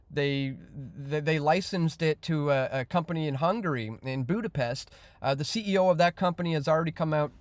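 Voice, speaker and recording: A man giving off-the-cuff news commentary as an independent host, reading out headlines in a halting but emphatic way. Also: a little high, no room sound.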